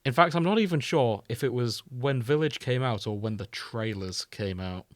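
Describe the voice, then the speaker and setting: A man's voice: mid-range voice, expressive, normal speed, dry recording. A man talks as a podcast host in loose, casual banter. He teases his co-hosts and swears freely, and the sound is close and dry with no room around it. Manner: teasing, sweary.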